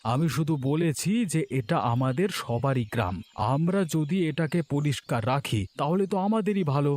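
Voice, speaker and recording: A man playing a kind, principled poor villager in a cartoon, speaking in an earnest, gently persuasive manner. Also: fairly high pitch, normal speed, natural voice. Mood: earnest, pleading. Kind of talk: Bengali moral-story cartoon dubbing. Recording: music behind the voice.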